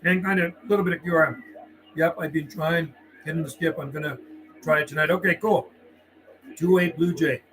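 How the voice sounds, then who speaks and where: A man's voice: a little high, fairly even intonation, a little fast, long pauses, a bit bright, slight background noise, poor audio. A man working as a CB radio operator on a livestream, mixing radio calls with laid-back, friendly, slangy chat. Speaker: CB radio operator and live streamer.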